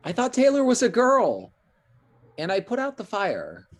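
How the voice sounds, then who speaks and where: A woman playing a blunt, snarky sibling with a husky voice in a comedy table read. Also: a little high, wildly swooping pitch, unhurried, full character voice. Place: remote video call read.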